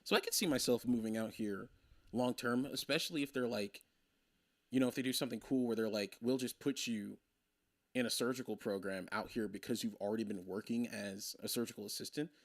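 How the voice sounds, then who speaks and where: A young man in his twenties chatting on a game stream, rambling through his thoughts and laughing often. His voice is mid-pitched and sits close and dry on the mic, with no room sound around it. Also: fairly quick, dry recording. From Maryland. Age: 20s. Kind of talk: casual chat on a game stream.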